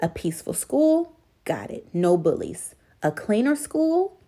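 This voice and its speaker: A woman voicing a determined, opinionated schoolgirl candidate in a storybook, decisive and expressive. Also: medium pitch, unhurried. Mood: decisive, picky.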